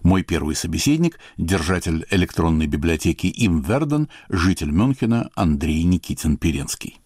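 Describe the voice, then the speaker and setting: A man hosting a radio program, reading a scripted report in a measured way and then putting questions to a guest. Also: low-pitched, very animated, a little mellow, husky, no echo, clean studio sound. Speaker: radio host. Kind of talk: scripted broadcast narration and interview.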